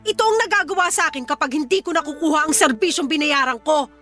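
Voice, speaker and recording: A woman's voice: sits high, fast talker, bright, forceful tone, faint music behind. A woman acting a desperate, demanding young mother in a Filipino radio drama, indignant and insistent.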